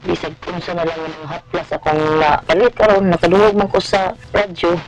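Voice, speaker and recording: A woman's voice: on the low side, little pitch movement, fairly quick, poor audio. A woman telling an earnest testimonial in a radio advertisement. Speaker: customer giving testimonial.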